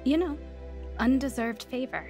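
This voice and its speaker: An actress playing an earnest, anxious young woman in recovery in a heartfelt, expressive radio drama. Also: high-pitched, measured pace, soft background music. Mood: reflective, heartfelt. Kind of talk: radio drama acting and first-person narration.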